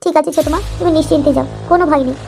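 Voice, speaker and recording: Woman voicing a high-pitched, sweet young girl. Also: fairly even intonation, racing, creaky, darkish tone, faint music behind. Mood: eager, affectionate. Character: obedient, affectionate young girl, devoted daughter.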